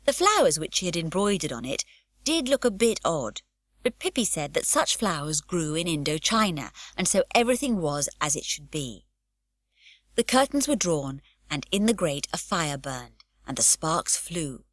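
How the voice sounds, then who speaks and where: A woman reading an unabridged children's audiobook aloud with an expressive storytelling lilt, recorded in a studio. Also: sits low, moderate pace, no echo. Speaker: audiobook narrator.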